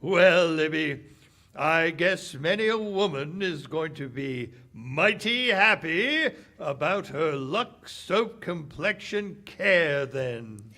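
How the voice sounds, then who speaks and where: A man acts out a 1940s radio man with a bright, forceful tone. His hearty delivery swings widely in pitch and takes its time, in old-time broadcast style. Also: expressive, slow pace, full character voice. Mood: earnest selling. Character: folksy, enthusiastic 1940s soap company spokesman; bitter, guarded cafe owner. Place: live stage performance at a public library.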